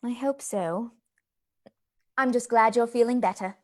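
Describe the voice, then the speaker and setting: A woman's voice: a little high, measured pace, close to an everyday voice. A woman in a radio play acting an earnest, anxious, caring young Victorian lady. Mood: worried, caring. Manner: earnest, solicitous.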